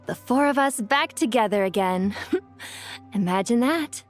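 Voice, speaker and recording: A woman's voice: a little high, animated, a little slow. Regal, ancient female djinn. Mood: languid. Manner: stately, formal, imperious. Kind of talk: fantasy game dramatic dialogue. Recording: faint game music behind the voice.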